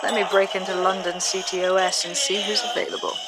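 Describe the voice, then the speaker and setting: An actress playing a terse, determined resistance leader in a video game. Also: female voice, fairly low pitch, little pitch movement, fast, lightly acted, low-quality recording. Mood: grimly determined. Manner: terse, purposeful. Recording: music behind.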